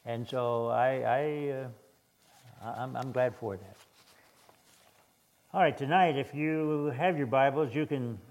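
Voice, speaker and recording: An older man preaches in a hoarse voice, often stumbling and restarting mid-sentence. His delivery is plain and unhurried as he reads scripture and comments on it. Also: medium pitch, lo-fi sound. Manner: halting, many restarts and fillers. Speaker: guest preacher. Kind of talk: sermon.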